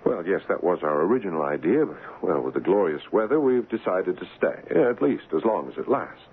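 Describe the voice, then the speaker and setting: A man's voice: low-pitched, very animated, fairly quick. An actor playing a wry, affectionate American husband who narrates his haunting tale in a radio drama. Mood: wry, curious. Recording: muffled, noisy 1970s radio broadcast.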